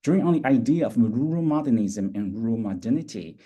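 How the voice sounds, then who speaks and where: Very dark, husky man introducing speakers. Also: medium pitch, fairly quick. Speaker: journal editor introducing speakers. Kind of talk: reading formal academic introductions.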